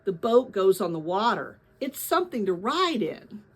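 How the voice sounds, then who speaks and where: Earnest young boy explorer, thoughtful, hushed, full of wonder, storybook read-aloud performed by a woman. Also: medium pitch, sing-song, moderate pace, husky. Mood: awed.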